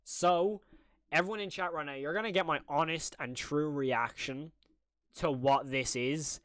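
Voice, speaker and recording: A man's voice: medium pitch, fairly bright tone, no echo. A man giving casual, rambling live-stream commentary to his chat as a reaction streamer, informal and frank. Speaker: streamer reacting to horror videos.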